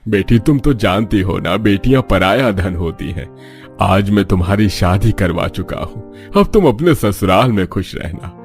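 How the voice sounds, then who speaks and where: Man acting a middle-aged cartoon father, low voice, protective, earnest, faint music behind. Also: animated, normal speed. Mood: tender, consoling. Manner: earnest, reassuring. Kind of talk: cartoon story dialogue.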